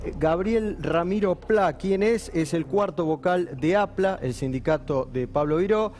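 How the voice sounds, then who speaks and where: A man, working as a TV reporter, briskly laying out facts and names. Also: high voice, darkish tone, a little hiss or hum. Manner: brisk, factual, listing names. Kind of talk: investigative report narration and studio report.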